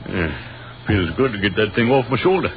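An actor playing a polite, modest American army captain in a 1930s radio drama, with an American accent and a slightly hesitant, unassuming way of talking. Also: male voice, lowish voice, animated, normal speed, natural voice. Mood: grateful, hesitant. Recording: dull, noisy 1930s radio recording.

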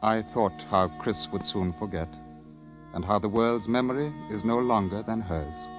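Man acting a deep-voiced, somber war correspondent. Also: natural voice. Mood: bitter sorrow. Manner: grave, measured, literary. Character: somber male British war correspondent recalling a battle. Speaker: actor narrating as a war correspondent. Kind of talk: 1940s radio drama narration. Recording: muffled old radio, music behind.

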